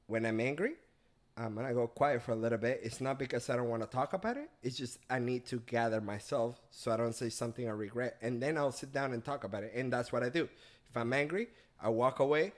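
Earnest man, reflective. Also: medium pitch. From Venezuela. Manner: earnest, reflective, halting restarts. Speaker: podcast guest. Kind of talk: casual chat.

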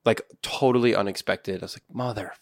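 Male co-host, slightly sick with a cold, fry-heavy lower voice, wry casual complaining, dry room. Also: steady tone, brisk, dry recording. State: coming down with a cold. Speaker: podcast co-host. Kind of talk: casual chat.

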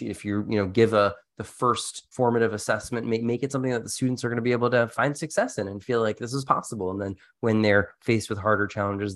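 A man's voice: mid-range voice, a bit bright. A man co-hosting an educational podcast, talking through teaching advice in an earnest, explanatory, conversational way. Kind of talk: conversational teaching-tips discussion.

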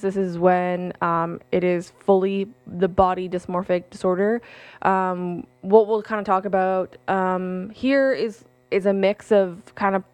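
A woman's voice: mid-range voice, darkish tone, smooth, dry recording. A young adult woman hosting a solo podcast, reading passages aloud and talking candidly about her own experience, with frequent ums and self-corrections. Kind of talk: casual solo talk with read-aloud passages.

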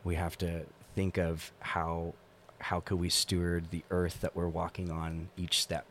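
A man's voice: low-pitched, completely flat delivery, a little slow, a bit bright. A man chatting casually as a guest on a podcast, speaking in an earnest, reflective way. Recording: clear background noise.

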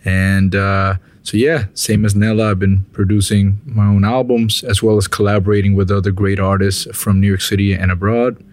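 A man from Puerto Rico in his thirties talks in a relaxed, unhurried way. His voice is fairly low. The sound is dry and close. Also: no echo. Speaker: musician, guest.

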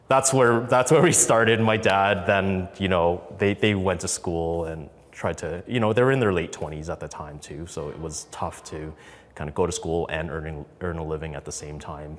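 A man, telling a personal story in an interview with conversational, halting phrasing that often repeats words. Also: sits low, in a large hall. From Canada, raised in Calgary from infancy. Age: 30s. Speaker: podcast guest, business co-founder.

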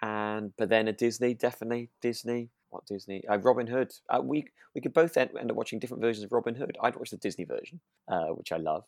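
A man's voice: medium pitch, fast, hardly any pauses, no echo, studio quality. A British man co-hosting a podcast in casual chat, agreeable and often breaking off to rephrase himself. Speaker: podcast co-host. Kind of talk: casual chat discussing a radio drama.

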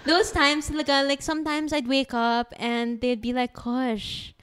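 A woman's voice: high voice, flat delivery, unhurried, a bit bright, reverberant. A woman, hosting a podcast in a teasing, giggly way. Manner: teasing, giggly, mixes Tagalog slang. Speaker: podcast host. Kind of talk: casual chat.

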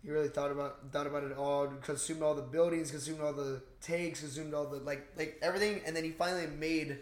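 A young man co-hosting a podcast, recapping a movie in casual, slangy, excitable talk with plenty of swearing. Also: on the high side, fast. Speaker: podcast host. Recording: roomy, echoing room sound.

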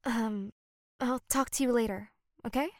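An actress playing a shy young woman, secretly a mermaid, in an audio drama, speaking in a hushed, hesitant way. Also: a little high, a little slow, lightly acted. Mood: apologetic. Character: shy, guilty, soft-spoken mermaid passing as human.

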